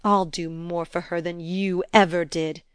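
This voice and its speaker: Woman acting a sharp, jeering old governess. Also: lowish voice, flat delivery, a little slow, clean tone, dry recording, studio quality, natural voice. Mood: pointed, defiant. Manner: sharp, pointed, ironic.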